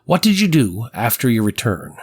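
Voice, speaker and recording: Animated, probing prosecutor played by a man. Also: medium pitch, normal speed, slightly rough. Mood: methodical, questioning. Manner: formal, probing, clipped questions. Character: methodical, firm male prosecuting attorney examining a witness. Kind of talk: courtroom transcript reenactment.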